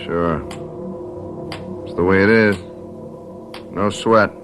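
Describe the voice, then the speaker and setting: A man's voice: deep voice, steady tone, slow pace, music in the background. An actor playing a brash, troubled young college man in a radio drama, with slangy, stammering, defensive speech. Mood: flat, subdued. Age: young adult.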